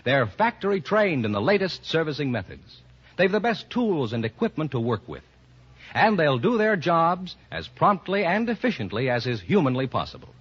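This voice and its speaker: A man's voice: a little high, very animated, normal speed, breathy edge. An American man announcing and reading sponsor messages in polished, salesmanlike 1940s radio style. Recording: muffled vintage radio broadcast recording.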